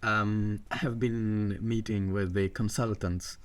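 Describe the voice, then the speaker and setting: A man's voice: fairly low pitch, flat delivery. A middle-aged man from Egypt, a guest on a podcast, speaking with many fillers and restarts. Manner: halting, many fillers and restarts, non-native grammar. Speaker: water management guest. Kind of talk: podcast interview.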